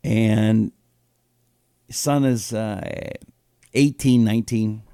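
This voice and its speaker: A man's voice: lowish voice, fairly even intonation, slow, mellow, clean tone, no echo, studio quality. A man in his fifties with an American accent, hosting a casual live talk show in a rambling, conversational way full of rhetorical questions. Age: 50s. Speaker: host of a political talk show. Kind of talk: casual live commentary, reading listener comments.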